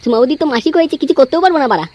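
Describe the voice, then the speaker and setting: A woman's voice: sits high, lively intonation, racing, a little mellow. A woman voicing a crude, hot-tempered young village man in a comic cartoon, grumbling and swearing constantly. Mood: hungry, frustrated. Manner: foul-mouthed grumbling, peppered with swearing. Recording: faint background noise.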